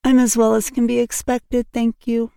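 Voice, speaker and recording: A woman's voice: a little high, flat delivery, moderate pace, gravelly, mellow, clear, resonant tone, dry recording, clean studio sound, pitched above the performer's own voice. A woman voicing an eager, chatty orphan girl who gushes in a breathless, wide-eyed way. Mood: wistful.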